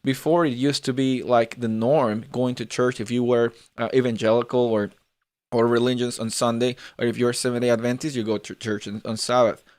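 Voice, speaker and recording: A man's voice: mid-range voice, level tone, a little fast, a little mellow, clear, resonant tone, no room sound. A man in his twenties from Peru, with a mixed Latin American Spanish accent, hosting a live conversation in a chatty way and often repeating words. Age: 20s. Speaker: host.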